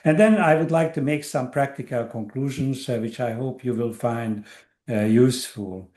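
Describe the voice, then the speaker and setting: An older man from Hungary speaks at a middle pitch, lecturing over a video call. He hesitates with frequent fillers and restarts as he works through his points. The sound is roomy, with a clear echo from the space around him. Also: normal speed, darkish tone. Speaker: guest lecturer. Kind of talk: academic online lecture.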